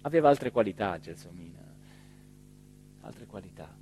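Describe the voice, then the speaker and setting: Excitable mayor, noisy recording. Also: male voice, lowish voice, normal speed. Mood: musing. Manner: emphatic, swinging from hushed musing to shouted outbursts. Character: excitable small-town Italian mayor recounting his dream and a night emergency. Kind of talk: theatrical radio monologue, dramatic storytelling.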